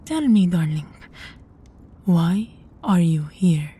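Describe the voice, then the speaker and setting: A woman's voice: fairly low pitch, very animated, slow, mellow, slightly rough, exaggerated voice. A woman playing a possessive, sadistic vampire master in an intimate audio drama, teasing and condescending, often sinking toward a whisper. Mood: seductive, possessive.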